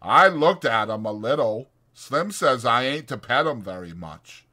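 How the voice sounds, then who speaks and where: A big, simple-minded, eager-to-please farmhand from an audiobook drama, put on by a male reader. He speaks loudly and haltingly, childlike and fawning, with swooping pitch. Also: expressive. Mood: eager, innocent.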